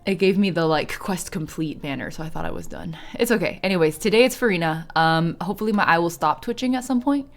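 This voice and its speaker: A woman streaming a video game, chatting casually in an animated, slangy way and reacting aloud. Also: fairly low pitch, fast, hardly any pauses. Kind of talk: casual live stream chat with gameplay reactions. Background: game background music.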